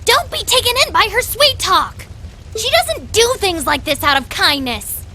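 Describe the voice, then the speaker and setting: A woman voicing a small, feisty, scolding cat creature in a video game, squeaky and indignant. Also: very animated, unhurried, pushed and bright, slight background noise, heavily acted.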